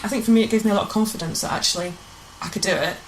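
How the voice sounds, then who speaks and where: A woman's voice: mid-range voice, rapid-fire, noisy background. A woman chatting informally as an interview guest, telling anecdotes in a self-deprecating way. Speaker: amateur pantomime director, interview guest.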